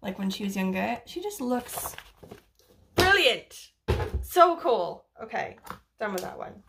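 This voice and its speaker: A woman's voice: a little high, big pitch swings, slow pace, vocal fry, background noise. A young woman from Wales chatting casually about books, rambling with lots of fillers and the odd swear word. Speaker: book vlogger. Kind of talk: casual book review chat.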